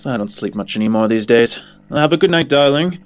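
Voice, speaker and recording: A man's voice: medium pitch, expressive, fairly quick, lightly acted. A man voicing an easygoing, weary man with a wry, courteous manner in a tabletop game.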